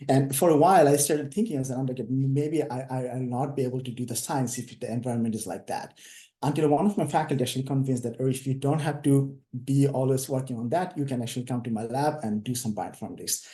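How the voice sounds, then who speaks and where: A man's voice: medium pitch, quick pace, dark tone, roomy sound. Man from Bangladesh giving a career talk. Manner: hesitant, many fillers. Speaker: invited speaker. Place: online video call. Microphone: video call.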